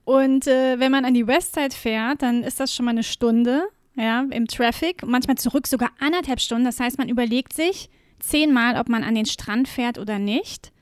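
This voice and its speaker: A woman's voice: a little high, moderate pace, clear, resonant tone, dry recording, studio quality. A German woman co-hosting a podcast, chatting casually with her co-host and often using fillers and laughing. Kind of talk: casual chat. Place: home room with windows closed.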